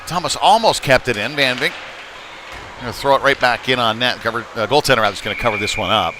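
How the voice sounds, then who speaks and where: A man with a mid-pitched voice gives live hockey commentary over clear rink noise. His delivery is folksy and chatty, picking up energy as the play develops. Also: fast talker, crowd noise. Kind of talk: live sports commentary with casual asides. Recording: noisy live rink ambience.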